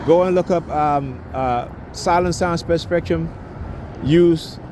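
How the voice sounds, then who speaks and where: A man's voice: a little high, slow pace. A man in his fifties speaking emphatically in rambling street talk, often tagging "right?" and stumbling over repeated words as he interviews passersby. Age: 50s. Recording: loud traffic and street noise.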